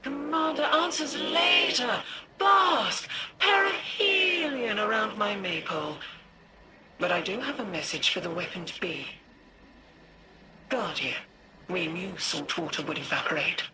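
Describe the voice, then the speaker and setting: A woman's voice: medium pitch, lively intonation, a little slow, noisy background, close to an everyday voice. A woman voicing a cryptic cosmic entity in a game, whimsical, grandiose and taunting in a theatrical way. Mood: grandiose, playful. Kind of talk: scripted game cutscene dialogue.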